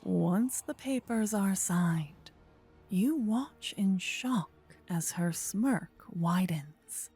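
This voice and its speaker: A woman narrating a scripted fantasy ASMR story in a soft, breathy, partly whispered voice. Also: fairly low pitch.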